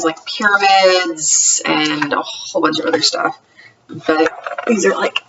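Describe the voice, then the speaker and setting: A woman's voice: on the low side, a bit bright. A woman chatting casually in a homemade show-and-tell vlog, rambling conversationally and correcting herself as she goes. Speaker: homeschooling parent vlogger. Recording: dull lo-fi, distant mic, faint noise.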